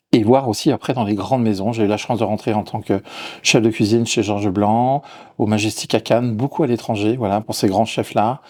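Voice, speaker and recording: A middle-aged man from Lyon, a chef and restaurant owner, answering interview questions with frequent restarts and repeated words. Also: lowish voice. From Lyon, France. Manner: hesitant, repeats words, warm about his work.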